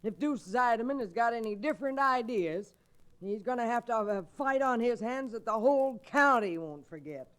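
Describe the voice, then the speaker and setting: An actress playing a feisty, stubborn frontier widow in business, blunt and folksy, in a radio drama. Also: female voice, on the high side, expressive, normal speed, fairly bright tone. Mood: defiant. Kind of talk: old-time radio Western acting.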